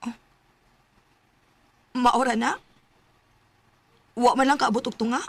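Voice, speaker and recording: A woman's voice: a little high, fairly even intonation, slow pace, creaky, very noisy. A Cebuano actress playing a devoted, easily hurt young woman in a romantic radio drama, with an emotional, pleading manner. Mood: hesitant, hurt. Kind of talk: Filipino radio drama acting.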